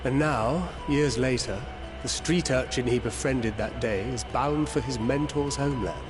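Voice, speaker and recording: A thoughtful adventurer played by a man talks in a measured, reflective way. The voice sits at middle pitch, with game music behind it. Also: lightly acted. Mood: nostalgic. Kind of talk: video game cutscene acting.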